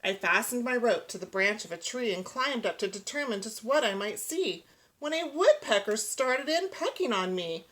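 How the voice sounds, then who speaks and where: A woman reading a children's picture book aloud, giving the rhyming verse an expressive, rhythmic delivery. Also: fairly high pitch, moderate pace, rough recording. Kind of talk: children's storybook read-aloud.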